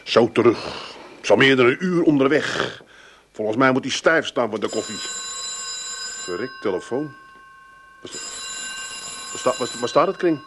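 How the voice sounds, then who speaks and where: An actor playing the dealer's down-to-earth, exasperated son with an Amsterdam accent in a radio play. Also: male voice, mid-range voice, animated, normal speed, long pauses, a bit bright, a little hiss or hum, lightly acted. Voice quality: blunt, dry.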